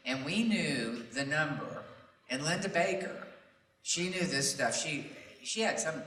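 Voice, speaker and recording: A man's voice: on the high side, pushed and bright. A middle-aged man speaking on a panel as a traffic reporting veteran, telling anecdotes in a chatty, folksy way. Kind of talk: animated anecdotal panel talk. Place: large room at a public meeting. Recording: roomy echo, distant mic, background noise.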